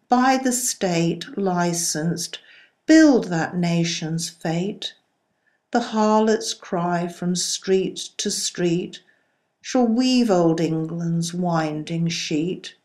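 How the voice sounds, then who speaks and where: A woman reciting poetry in a solemn style, with measured, deliberate phrasing. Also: fairly low pitch, darkish tone. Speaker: poetry reader.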